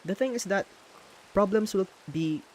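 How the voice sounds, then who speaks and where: A man from the Philippines talks casually in a Filipino accent while building in a game, his voice sitting a little high. He often pauses mid-sentence to find the right English word, since Tagalog is his first language. A faint hiss runs under the recording. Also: a little mellow. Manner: thinks aloud, pauses to hunt for English words. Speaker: streamer.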